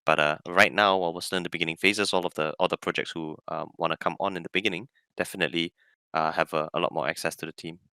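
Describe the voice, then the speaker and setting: A man who is a guest from a crypto team, talking fast and emphatically in a casual online chat. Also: low voice, fairly even intonation, pushed and bright, smooth, no room sound.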